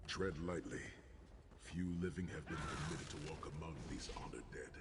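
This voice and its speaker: A man's voice: rumbling low, fairly even intonation, normal speed. An actor playing a grave, honorable swordsman burdened by guilt, speaking formally in a fantasy game. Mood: reverent. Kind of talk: scripted fantasy game dialogue. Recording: long reverb, noisy game capture.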